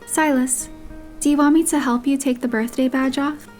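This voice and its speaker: A woman's voice: high-pitched, steady tone, moderate pace, a little mellow, lightly acted. A woman voicing a playful, tender young heroine who teases her beloved in romance game dialogue, with guitar music behind. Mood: teasing. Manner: teasing, affectionate.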